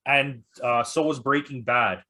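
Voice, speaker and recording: A man's voice: mid-range voice, steady tone, measured pace, pushed and bright. A man chatting casually and bluntly about films as a podcast host, with exasperated critiques. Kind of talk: casual opinionated chat.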